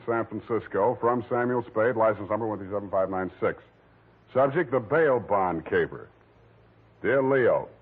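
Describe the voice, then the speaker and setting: An actor playing a wry, weary hard-boiled private detective with a husky voice and deadpan delivery, in 1940s radio style. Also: male voice, mid-range voice, natural voice. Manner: deadpan, sardonic. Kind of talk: 1940s radio detective acting, first-person narration. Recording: muffled, narrow-band 1940s radio recording.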